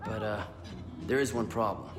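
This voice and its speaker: A man's voice: fairly low pitch, normal speed, lightly acted. Blunt American militiaman played by an actor, very echoey. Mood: urgent, casual. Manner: casual, blunt, conversational. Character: gruffly practical, casual American militia fighter man. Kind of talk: game cutscene dialogue. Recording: echoey hall, loud music behind.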